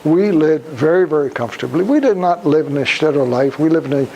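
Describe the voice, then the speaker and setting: An elderly man from Poland giving a public talk, speaking deliberately in halting phrases and putting questions to his listeners. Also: a little high, expressive, a little mellow, muffled recording. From Krakow, Poland. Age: over 75. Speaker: guest speaker, Holocaust survivor. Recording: noisy live room recording.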